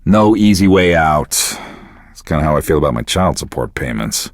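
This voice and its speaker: An actor playing a self-pitying, sardonic radio DJ in a video game, world-weary and deadpan. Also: male voice, very deep voice, moderate pace, dry recording, clean studio sound. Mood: sardonic, resigned. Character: bitter, self-pitying male radio DJ with a wrecked life. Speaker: radio DJ host. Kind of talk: scripted radio DJ patter for a game.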